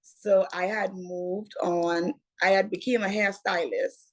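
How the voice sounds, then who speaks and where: Bahamian woman, 20s, interview guest; slow, measured storyteller who circles back to her point, mid-pitched voice. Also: steady tone, rough recording. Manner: unhurried, repeats "what had happened was".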